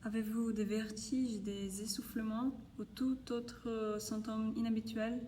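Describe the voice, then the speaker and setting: A woman's voice: on the high side, level tone, unhurried, mellow, recorded from a distance, slight background noise, close to an everyday voice. A woman playing a polite, businesslike nurse in a French audio drama.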